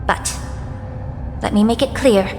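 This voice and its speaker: An actress playing a poised young woman alchemist in a game, speaking in a deliberate, analytical way. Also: mid-range voice, close to an everyday voice. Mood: guarded. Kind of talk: voiced game dialogue. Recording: reverberant game mix with music. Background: orchestral game music.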